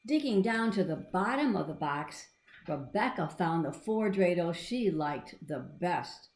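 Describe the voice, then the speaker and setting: Female storybook reader for young children, expressive and conversational, recorded in a reverberant room. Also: on the low side, unhurried. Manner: expressive, asking the listener questions. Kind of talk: children's read-aloud with asides to young listeners. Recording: echoey room.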